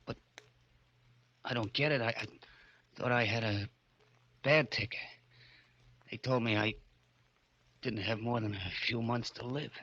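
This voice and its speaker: Hard-boiled American gangster, forceful voice. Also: male voice, mid-range voice, measured pace, long pauses, lo-fi sound. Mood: dazed, shaken. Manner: tough, slangy, brooding. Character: hard-boiled male gangster trigger man telling his story. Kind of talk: old-time radio crime drama, first-person narration. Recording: old radio broadcast with music behind.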